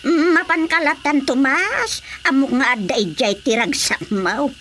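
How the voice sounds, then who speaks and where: A woman's voice: high voice, expressive, a little slow, a bit bright, slight background noise. An actress playing an outspoken, scolding mature village woman in a radio comedy drama, emphatic and exclamatory. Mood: confronting.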